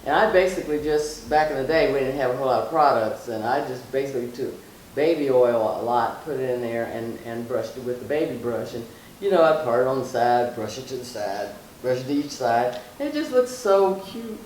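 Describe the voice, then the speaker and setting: A woman's voice: extremely low pitch, expressive, brisk, a little mellow. Middle-aged mother, casual reminiscer, emphatic repetition, echoey room, off the mic. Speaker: mother being interviewed. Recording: echoey, distant mic, faint noise.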